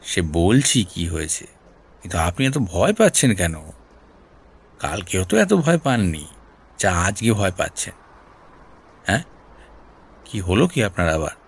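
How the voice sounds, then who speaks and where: Shrewd detective, gravelly, really animated pitch swings, radio drama style. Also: male voice, heavily acted. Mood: pressing. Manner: probing, questioning, deliberate. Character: shrewd, persistent male Bengali detective, senior partner. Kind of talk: audio story detective drama acting. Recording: music bed behind voice.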